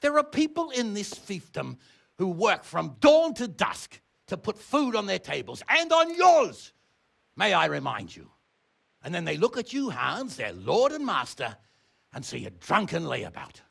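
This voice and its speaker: An actor playing a stern, exasperated noble uncle in a medieval video game, blustering, sarcastic and blunt. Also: male voice, high voice, wildly swooping pitch, unhurried, creaky, fairly bright tone, slightly rough, exaggerated voice. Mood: angry scolding. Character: stern, exasperated noble uncle scolding his wayward ward. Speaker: medieval lord and guardian.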